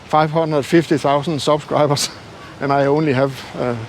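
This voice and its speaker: An older man with a non-native English accent, talking casually and haltingly to viewers in a self-deprecating way as a travel vlogger. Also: fairly high pitch, steady tone. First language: not English. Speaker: travel YouTuber. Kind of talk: casual vlog talk to camera. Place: outdoors. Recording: noisy outdoor street ambience with traffic.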